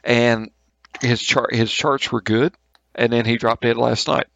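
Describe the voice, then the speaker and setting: A man acting as game master, narrating a tabletop game in a halting, colloquial, informal way. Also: mid-range voice, level tone, creaky, fairly bright tone, dry recording, dull audio.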